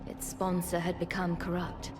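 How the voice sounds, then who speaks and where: An actress playing a principled, weary female scientist in a video game cutscene, speaking in a measured, earnest way. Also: on the low side, completely flat delivery, noisy background, lightly acted. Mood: reflective.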